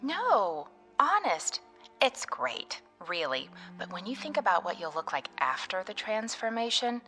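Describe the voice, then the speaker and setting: Bright-voiced, gushing teenage girl. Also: medium pitch, very animated, unhurried, soft background music. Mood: eager, prying. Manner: breathless, gushy chatter. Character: gushing, looks-obsessed excitable teenage girl. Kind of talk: radio drama acting.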